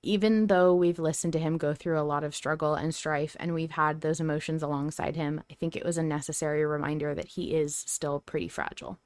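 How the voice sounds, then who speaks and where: A woman's voice: low voice, fairly even intonation, a little fast, hardly any pauses, a little mellow, dry recording. A woman hosting a podcast, talking casually in a reflective, candid way. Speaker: podcast host.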